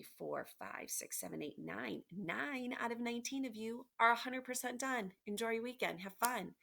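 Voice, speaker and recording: A woman teacher reading aloud to children, expressively and encouragingly, pausing to explain and ask questions. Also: low-pitched, creaky, pushed and bright. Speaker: elementary school teacher. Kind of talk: children's read-aloud with questions and asides to pupils. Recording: noticeable background noise, clicks.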